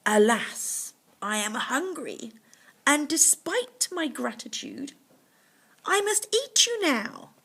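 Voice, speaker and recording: A woman's voice: high-pitched, big pitch swings, takes it slowly, a bit bright, pitched above the performer's own voice, heavily acted. A woman voicing a grumpy, hungry male tiger from a children's storybook, with grandly polite, formal phrasing. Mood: hungry, insistent.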